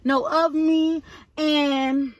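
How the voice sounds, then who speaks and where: A woman vlogger, slightly hoarse, chatting casually and directly to her viewers. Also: high-pitched, flat delivery, extremely slow, no echo.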